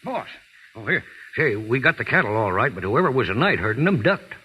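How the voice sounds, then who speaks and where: Raspy, animated cowhand. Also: male voice, mid-range voice, brisk, highs cut off. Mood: eager. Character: excitable cowhand, eager for the hanging. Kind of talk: 1930s radio drama acting. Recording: crackly 1930s radio broadcast.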